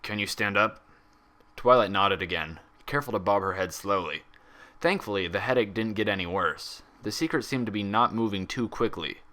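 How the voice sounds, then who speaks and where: A man reading a story aloud as scripted, expressive narration. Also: fairly low pitch, a little fast. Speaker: fan fiction reader.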